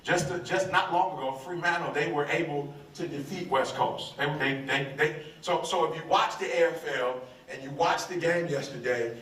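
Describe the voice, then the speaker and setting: A man's voice: on the high side, normal speed, hardly any pauses, fairly bright tone, far from the mic. An American man giving a live motivational speech to a room, emphatic and repeating his phrases call-and-response style. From United States. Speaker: motivational speaker. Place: large room with audience. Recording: roomy hall, voice from off-mic.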